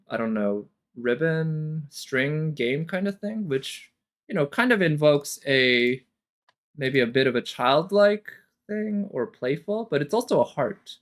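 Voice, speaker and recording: A man giving casual, off-the-cuff commentary as a streamer, analytical and hesitant as he thinks aloud. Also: medium pitch. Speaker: reaction streamer.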